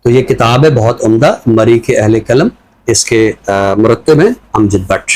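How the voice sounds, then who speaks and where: A man with a Pakistani accent speaking in a measured, deliberate way, phrase by phrase, as in a literary talk or recital. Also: medium pitch, darkish tone. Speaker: literary show presenter reciting a poem and introducing a book.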